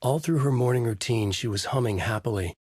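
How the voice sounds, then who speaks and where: A man narrating a dark thriller game as a brooding, haunted writer, in a deliberate, literary, dramatic delivery. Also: medium pitch, slightly rough, no room sound, clean studio sound. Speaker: voice actor playing a writer protagonist who narrates.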